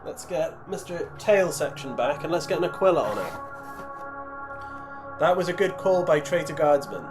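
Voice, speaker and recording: A man on a live stream, chatting casually and reading chat aloud, rambling and self-deprecating, while losing his voice. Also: medium pitch. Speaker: streamer building miniatures.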